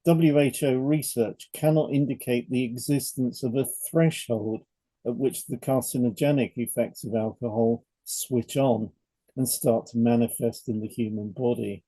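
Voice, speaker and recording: A man co-hosting a podcast, reading scripted educational narration in a measured, explanatory way. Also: mid-range voice, mellow, breathy edge, no echo, low-quality recording. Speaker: podcast co-host, hypnotherapist.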